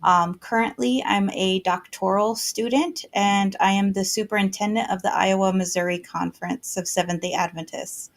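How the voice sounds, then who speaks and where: This is a woman from South Dakota in the United States, introducing herself in an online discussion. Her voice sits in a middle pitch. She speaks in a friendly, easy way with frequent um fillers. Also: fairly even intonation, moderate pace, hardly any pauses. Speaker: church conference superintendent and doctoral student. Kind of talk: casual introduction on a video call.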